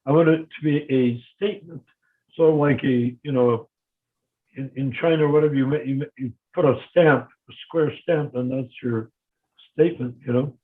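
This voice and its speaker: A man's voice: medium pitch, normal speed. An older man in a casual discussion, speaking emphatically and often repeating words as he starts sentences, heard over a video call. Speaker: discussion guest. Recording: tinny phone-like line.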